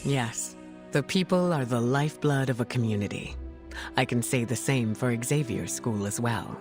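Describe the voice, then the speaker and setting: A woman playing a regal, gracious mutant heroine in a video game, speaking formally and with measured poise. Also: a bass voice, darkish tone, faint music behind. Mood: warm, courteous. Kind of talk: video game cutscene acting.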